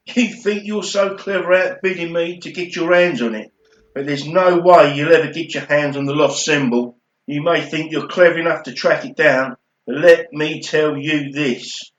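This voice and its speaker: A man's voice: a little high, moderate pace, lightly acted. Creepy, ominous male villain played by an amateur man for radio drama; threatening, melodramatic, roomy muffled sound. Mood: menacing. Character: creepy menacing male rival bidder, ominous and bitter. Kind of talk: amateur radio play acting. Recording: roomy, dull home recording.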